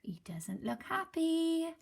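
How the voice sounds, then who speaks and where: A woman primary school teacher reading a picture book aloud to her class, asking the children gentle questions in soft asides. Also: extremely high pitch, sing-song, slow, creaky.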